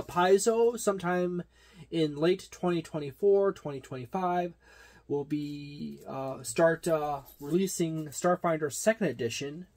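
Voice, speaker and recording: A man with a voice a bit higher than most gives a casual, unscripted review. His delivery is halting and digressive, stopping and starting as he works through the details of a product. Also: darkish tone. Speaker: tabletop gaming reviewer.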